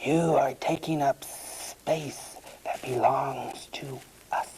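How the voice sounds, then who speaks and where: A woman voicing a big, menacing storybook snake with a breathy, whispery voice. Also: extremely low pitch, steady tone, slow, a little mellow, a little hiss or hum, rough recording.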